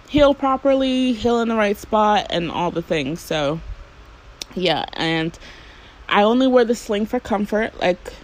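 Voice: mid-range voice, poor audio. Rambling, chatty podcast host. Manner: rambling, chatty, frequent fillers and casual swearing. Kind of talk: casual solo podcast monologue.

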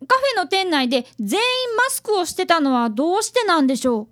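A woman's voice: very high-pitched, very animated, fairly quick, fairly bright tone, exaggerated voice. A woman playing a polite, earnest primary-school boy who is an apprentice detective and narrator in a radio drama. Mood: puzzled.

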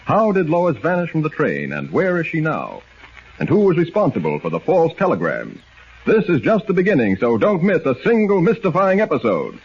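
A man's voice: fairly high pitch, huge pitch swings, normal speed, husky, full character voice. A man performing as a dramatic announcer for a 1940s radio mystery serial. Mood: dramatic, intriguing. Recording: dull, noisy 1940s radio recording.

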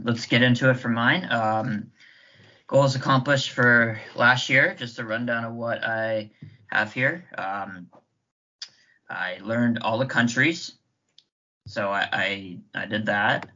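Bright-voiced easygoing man, lowish pitch. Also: muffled recording. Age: 30s. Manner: friendly, laughing, easygoing. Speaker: podcast co-host. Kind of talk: casual podcast chat.

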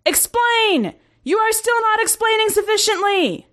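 A woman's voice: very high-pitched, expressive, a little slow, fairly bright tone, voice pushed up, exaggerated voice. A woman voicing an imperious, outraged alien military commander with tentacles and many eyes, barking orders in a sci-fi story. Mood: furious.

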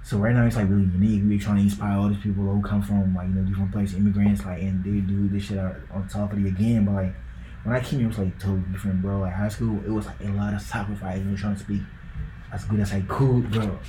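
A young Ghanaian man chats on a podcast in a low, mellow voice that stays fairly flat. His talk rambles, packed with likes and bros. The room echoes around him, with a faint hum behind. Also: fast. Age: young adult. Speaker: podcast co-host. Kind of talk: casual podcast chat.

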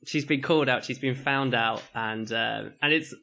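A young Englishman with a bright, forceful voice chats loosely with a friend. He tells long, winding stories and laughs as he goes. His pitch sits right in the middle for a man. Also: normal speed, vocal fry. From England. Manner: rambling storyteller, laughs often, mimics people in higher voices. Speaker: podcast co-host. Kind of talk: casual chat.

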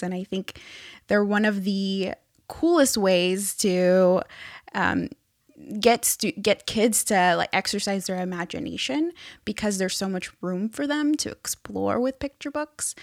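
A woman's voice: medium pitch, a bit bright, no echo, studio quality. A woman talking conversationally in an interview as an author. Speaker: first-time children's book author being interviewed.

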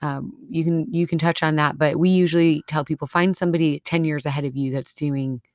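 A woman's voice: sits low, steady tone, fairly quick, a little mellow, dry recording, studio quality. A woman from Minnesota with a Minnesota accent, co-hosting a faith podcast in an earnest, encouraging way. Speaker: podcast co-host. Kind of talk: casual faith podcast chat.

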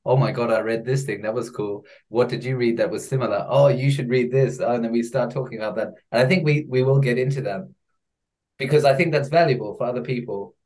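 A man hosting a podcast, chatting loosely with a guest and pausing on fillers as he thinks. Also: medium pitch, darkish tone, low-quality recording. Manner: hesitant, with many fillers and quick laughs. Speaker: podcast host. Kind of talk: casual interview chat.

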